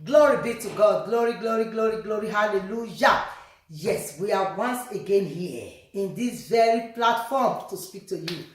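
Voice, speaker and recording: A woman pastor preaching in short phrases, pausing for an interpreter and often calling out hallelujah and glory be to God. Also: medium pitch, expressive. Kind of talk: sermon with live interpretation. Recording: very echoey room.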